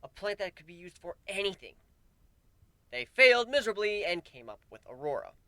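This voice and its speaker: A man's voice: high voice, very animated, a little fast, pushed and bright, no echo, pitched above the performer's own voice, exaggerated voice. A man voicing a weary, wry male pegasus who grows plants, in a dramatic fan fiction reading. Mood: earnest explaining.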